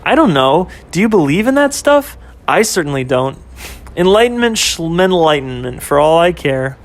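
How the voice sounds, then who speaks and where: A flippant young woman with a high, lifted voice, brushing everything off with quick rhetorical questions. A man puts her on in an amateur audio story, her tone scoffing and careless. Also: sing-song.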